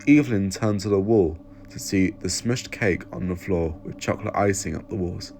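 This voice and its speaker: A man narrates an audio story from a script. His voice sits on the low side. Clear background noise is audible behind him. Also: moderate pace, darkish tone. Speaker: audiobook reader.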